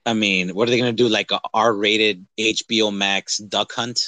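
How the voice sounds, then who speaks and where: A man co-hosting a podcast in casual movie chat, rambling in an animated, hyperbolic way. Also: on the low side, normal speed, dry recording. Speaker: podcast co-host.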